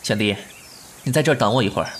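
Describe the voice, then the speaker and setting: A man's voice: on the low side, fast, a bit bright, slight background noise, natural voice. A man acting a proud, principled, defiant young man in a Chinese costume audio drama, with an earnest, formal delivery. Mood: firm, restrained.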